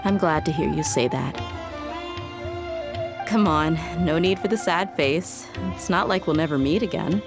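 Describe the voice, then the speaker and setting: A woman's voice: on the low side, measured pace, pauses often, over music. A woman playing a tough, easygoing and bold desert mercenary in a video game, speaking in a casual, self-assured way. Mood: reassuring.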